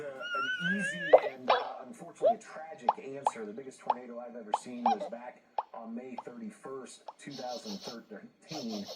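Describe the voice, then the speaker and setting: A man's voice: mid-range voice, very noisy. A middle-aged man giving conversational interview answers as an expert guest. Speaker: storm chaser and meteorologist guest. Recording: distant voice under loud music. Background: loud music with other voices mixed in.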